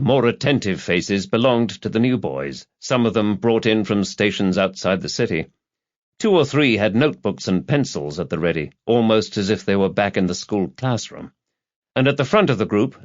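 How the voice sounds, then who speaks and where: A man's voice: lowish voice, muffled recording. A man narrating a scripted crime novel as an audiobook reader.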